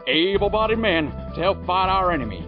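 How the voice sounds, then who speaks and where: A man's voice: sits high, expressive, fairly quick. A man playing a zealous, patriotic wartime radio announcer with emphatic, theatrical old-time delivery. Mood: urgent, rousing. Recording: dull, muffled radio-drama audio, faint music.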